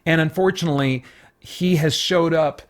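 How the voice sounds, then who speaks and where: A man's voice: fairly high pitch, fairly even intonation, moderate pace. A man hosting a review show, talking casually in unscripted commentary and searching for his words as he thinks aloud. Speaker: host of a comic book review show.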